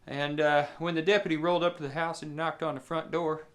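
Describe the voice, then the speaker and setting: Man, rural southern Indiana accent, slightly high-pitched voice, folksy storyteller, colloquial phrasing, frequent pauses. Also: little pitch movement, fairly bright tone. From southeast Indiana, United States. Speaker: musician and storyteller.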